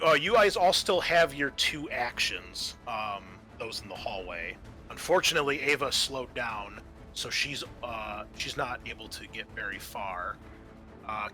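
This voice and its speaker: A man with a bright, forceful voice runs a tabletop roleplaying game. He narrates scenes in a halting, improvised way at a middling pitch. Faint music plays behind him. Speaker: game master.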